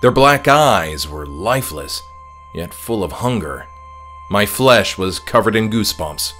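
A man narrating a scripted horror audiobook in a measured, dramatic storytelling manner. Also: on the low side, lively intonation, a bit bright, soft background music. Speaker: author reading his own novella.

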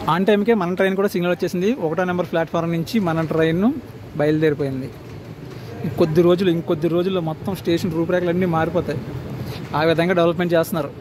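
A man with an Andhra Telugu accent gives chatty, casual travel vlog commentary straight to his viewers, recorded on board a train. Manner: chatty, addresses viewers directly. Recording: clear train and outdoor noise. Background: train rumble and outdoor ambience.

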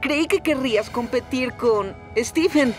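Pequeña locomotora alegre y aguda. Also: male voice, extremely high pitch, unhurried, faint music behind. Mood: playful. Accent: Latin American. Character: cheerful, friendly little steam engine. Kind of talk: animated children's cartoon acting.

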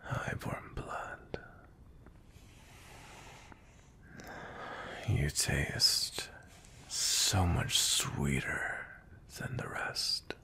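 A cold, dominant male vampire knight, acted by a man for an intimate ASMR roleplay. His voice is extremely deep and very slow, often sinking into a breathy whisper. He sounds menacing and possessive. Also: bright, forceful tone, full character voice. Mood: possessive, hungry. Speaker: audio roleplay voice actor.

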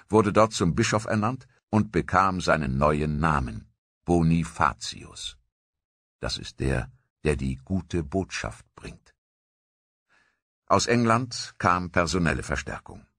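A man narrating a history documentary in a measured, scripted storytelling manner. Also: extremely low pitch, fairly bright tone, no echo.

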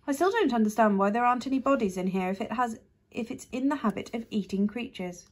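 Curious, bold young girl, higher and eager; woman reader voices her. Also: expressive, quick pace. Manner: eager, questioning, polite. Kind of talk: storybook reading.

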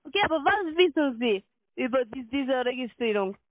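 Female telesales agent, high voice, insistent, halting non-native phrasing, narrow telephone band. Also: lively intonation, dry recording. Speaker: call centre sales agent. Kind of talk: scripted sales call. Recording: narrow tinny phone line.

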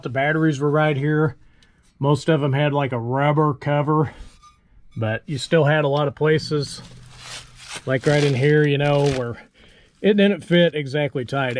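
A man hosting a farm video, casually explaining tractor features in a plainspoken, folksy way and thinking aloud as he goes. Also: on the high side.

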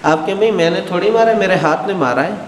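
A man's voice: high-pitched, quick pace, echoey room, in a large hall, slight background noise. A middle-aged man giving a religious lecture, acting out little dialogues and gesturing broadly, on a headset mic. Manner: animated, gestures widely, acts out everyday dialogues. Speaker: Shia cleric lecturing. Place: studio with a blue backdrop, indoors.

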